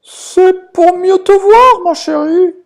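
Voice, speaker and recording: Shrill fake-granny big bad wolf. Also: takes it slowly, gravelly, full character voice. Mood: sugary, sly. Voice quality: thin, strained falsetto. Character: big bad wolf faking a frail sweet grandma voice.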